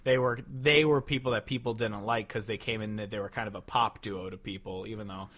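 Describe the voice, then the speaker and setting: A man's voice: on the low side, steady tone, brisk, a bit bright. A man hosting a podcast in casual, opinionated chat, swearing freely. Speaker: podcast host. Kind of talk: casual chat about country music.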